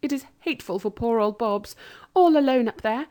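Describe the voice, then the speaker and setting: A woman's voice: on the high side, expressive, moderate pace, warm tone, voice pushed up, lightly acted. A woman voicing an earnest, fair-minded young girl who pleads with the others. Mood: pleading.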